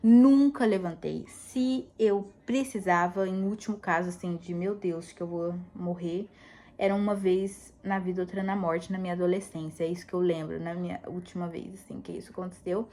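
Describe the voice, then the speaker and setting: A woman's voice: on the low side, steady tone, normal speed, dark tone. Chatty woman rambling in a casual vlog. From United States. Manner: chatty, rambling, laughs often. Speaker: vlogger. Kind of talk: casual chat.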